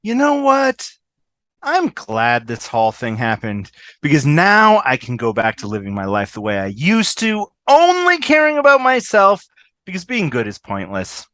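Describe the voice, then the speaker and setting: A woman's voice: low voice, huge pitch swings, measured pace, a bit bright, no room sound, full character voice. A woman playing a brash, selfish, sarcastic woman in a scripted comedy read over a video call. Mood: bitter, dismissive. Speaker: fan table-read actor.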